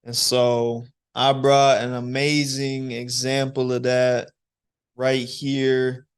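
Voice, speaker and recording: A young man hosts a student interview show and asks his guest encouraging questions. He speaks slowly, and his pitch barely rises or falls. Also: clear, resonant tone. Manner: slow, even, encouraging questions. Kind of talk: podcast interview hosting.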